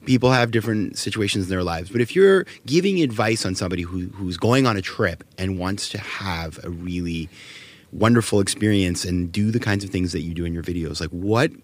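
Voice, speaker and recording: A man's voice: low-pitched, a little fast. A man hosting a podcast interview in a conversational style, recorded in a studio.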